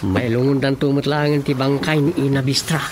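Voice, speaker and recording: A man's voice: medium pitch, fairly even intonation, brisk, heavy background noise, natural voice. A man acting a grumbling, gossipy villager in a radio drama comedy, speaking with an Ilocano accent. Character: man grumbling and gossiping about his father's coffin-making.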